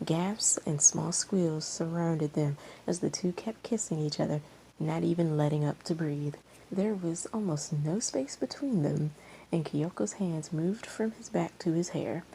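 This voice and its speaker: A woman narrates a story expressively in a lowish, mellow voice. There is some creak in her tone, and a faint noise sits behind her amateur recording. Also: poor audio. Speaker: fan fiction reader.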